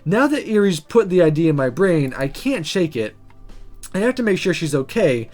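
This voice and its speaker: Expressive woman narrating a visual novel. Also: lowish voice, normal speed. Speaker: gaming video host reading a visual novel aloud. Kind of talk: expressive storybook-like narration and casual commentary. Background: faint music.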